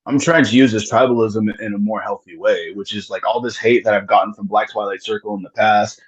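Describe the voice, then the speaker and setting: A man's voice: lowish voice, steady tone, brisk, slightly rough, rough recording. A man talking casually in a podcast conversation about his music, rambling with many restarts and curse words. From United States. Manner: rambling, frequent restarts and swearing. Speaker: musician guest.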